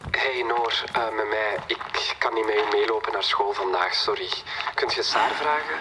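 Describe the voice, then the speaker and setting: An actor playing a casual, slightly hesitant young student leaving a telephone voicemail in an audio drama. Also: male voice, lowish voice, normal speed, noisy background. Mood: offhand.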